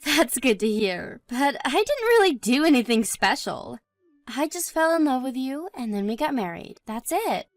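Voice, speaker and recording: A supportive young wife, played by a woman, talks in a high, dry-recorded voice with wide swings of pitch. She is modest and reassuring, chatting in a scripted domestic story drama. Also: sing-song, normal speed, no echo. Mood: gentle, reassuring.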